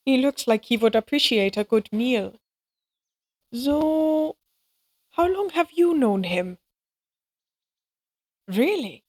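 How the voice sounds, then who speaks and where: An actress playing a curious, romantically hopeful woman in an audio drama, speaking in a gentle, musing and hesitant manner. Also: high-pitched, exaggerated voice. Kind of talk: cinematic audio roleplay acting.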